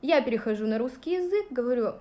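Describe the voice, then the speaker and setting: A woman's voice: on the high side, lively intonation, fast, dark tone. A woman in her thirties from Russia, chatting casually and directly to her viewers on a livestream, with plenty of fillers, recorded on a phone at arm's length in a small room. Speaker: vlogger answering viewer questions. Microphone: phone held at arm's length.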